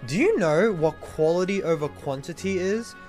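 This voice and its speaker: A man's voice: high-pitched, expressive, normal speed, over loud music. A man streamer mocking someone in a profane, combative rant. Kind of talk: angry rant.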